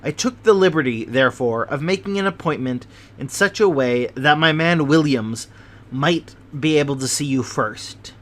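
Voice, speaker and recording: A man voicing a fussy, nervous older gentleman with a prim, precious way of talking. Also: fairly high pitch, normal speed, natural voice. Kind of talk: audiobook character voice.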